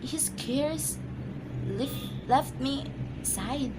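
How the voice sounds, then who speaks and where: A woman's voice: high voice, drawn-out words. A woman acting an anxious, demanding bedridden wife in an amateur radio drama, insistent and agitated. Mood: fretful, defensive. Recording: noisy amateur home recording.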